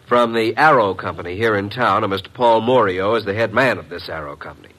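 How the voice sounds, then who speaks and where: A man plays a cheeky detective's assistant in a vintage radio mystery. His voice sits a little low. He talks in a wry, breezy, wisecracking way. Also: fast talker, fairly bright tone, lightly acted, poor audio. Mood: matter-of-fact. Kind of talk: old-time radio detective drama acting.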